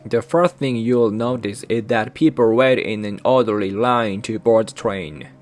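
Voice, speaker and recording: A man with a Japanese accent, a native Japanese speaker, hosting a channel and narrating a scripted guide in even, careful short phrases. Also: on the low side, measured pace, faint music behind. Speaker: channel host.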